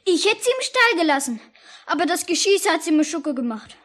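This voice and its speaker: A woman's voice: very high voice, very animated, normal speed, fairly bright tone, heavily acted. A woman playing a chatty, naive and curious young girl in a 1950s radio play. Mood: matter-of-fact. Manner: artless, chattering.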